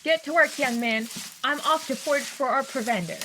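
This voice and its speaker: A woman playing a spirited, teasing, bossy backwoods wife in an audio drama, brisk and commanding. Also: high voice, noisy background, close to an everyday voice. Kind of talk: amateur audio drama acting.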